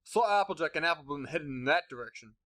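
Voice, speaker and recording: A man's voice: a little high, expressive, quick pace, bright, forceful tone, dry recording, clean studio sound. A man voicing a friendly, plain-spoken farm pony with a Southern US accent and a laconic, folksy manner in a storybook audio drama. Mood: easygoing.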